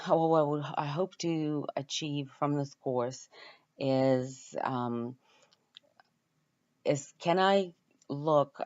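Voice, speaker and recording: A woman with a Ukrainian accent giving an informal self-introduction as a student, often pausing to search for her words. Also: extremely low pitch, darkish tone, muffled recording. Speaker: university student introducing herself.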